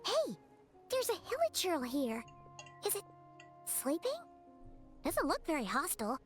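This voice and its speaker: A tiny floating game sprite with a very high voice. Her chatty, curious lines bounce up and down constantly, and she refers to herself by name. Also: huge pitch swings, measured pace, soft background music, full character voice. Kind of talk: game voice acting.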